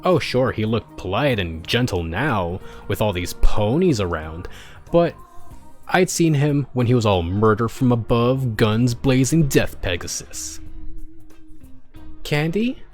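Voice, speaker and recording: A man narrating a story in the first person as a streamer, expressive and a little halting, correcting himself as he goes. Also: mid-range voice. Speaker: streamer reading fan fiction aloud. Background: background music.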